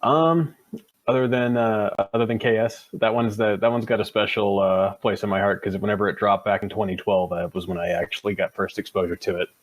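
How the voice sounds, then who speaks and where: Husky low-voiced American man, talking shop. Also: fairly even intonation, fairly quick. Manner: self-deprecating, jargon-heavy, casually profane. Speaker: video game developer. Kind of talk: casual technical chat.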